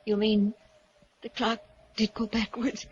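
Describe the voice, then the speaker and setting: An actress playing a frightened, pleading wife in halting, breathless 1940s radio melodrama style. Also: female voice, on the high side, little pitch movement, darkish tone, husky. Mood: uneasy. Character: frightened, pleading young wife with anxious hunches. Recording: muffled, noisy 1940s radio recording.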